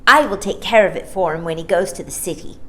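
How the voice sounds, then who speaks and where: A woman's voice: fairly low pitch, quick pace, pushed and bright. A woman voicing an eager, wheedling young boy in a children's storybook.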